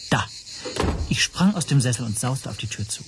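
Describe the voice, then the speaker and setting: A man's voice: mid-range voice, animated, moderate pace, creaky, background noise. An actor playing a tense, self-doubting man who tells his own story as introspective first-person narration in a radio play. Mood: alarmed, dejected.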